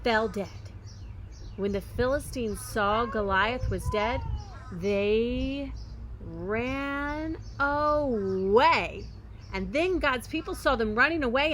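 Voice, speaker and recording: A woman reading a children's story aloud expressively, with dramatic emphasis, pauses and occasional hushed phrasing. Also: a little high, background noise. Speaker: storybook reader.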